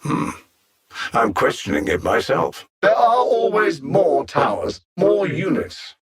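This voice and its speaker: A man playing a cold, superior alien machine conqueror in a video game, articulate and haughty, savoring his menacing taunts in a gravelly, husky voice. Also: high-pitched, wildly swooping pitch, slow pace, a loud crowd, heavily acted. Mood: smug menace. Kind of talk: theatrical video game villain acting.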